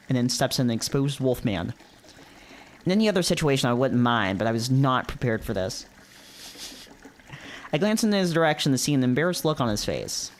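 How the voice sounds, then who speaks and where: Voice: mid-range voice, slight background noise. A streamer who reads visual novels aloud and chats casually, often repeating phrases when reacting. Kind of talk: livestream read-aloud with casual chat.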